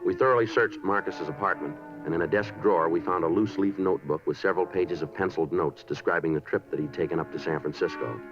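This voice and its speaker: An actor in a 1950s radio drama playing a methodical police detective, clipped, factual and deadpan. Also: male voice, low voice, fast talker, few pauses. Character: terse, methodical male police detective narrating his case. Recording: muffled 1950s radio with music behind.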